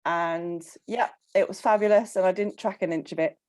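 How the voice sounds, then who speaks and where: A woman on a casual coaching call, croaky from illness, chatting with frequent ums and laughs. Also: on the low side, steady tone, normal speed, darkish tone, dry recording. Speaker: coaching client.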